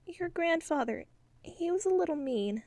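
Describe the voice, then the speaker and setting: A timid boy genius from an audiobook, as a woman narrator puts him on. His voice rides high and thin, hesitant and polite. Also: lively intonation, normal speed, a little mellow, no room sound. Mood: nervous.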